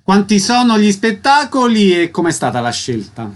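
Higher-pitched man hosting a radio show. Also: sing-song, normal speed. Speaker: radio host. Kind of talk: radio presenting and interviewing.

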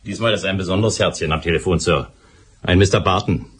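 An actor playing a dutiful police sergeant with a dry, matter-of-fact manner in a German radio play. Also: male voice, low voice, fast talker, slight background noise, lightly acted. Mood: dry, businesslike. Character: dutiful, dryly humorous male police sergeant.

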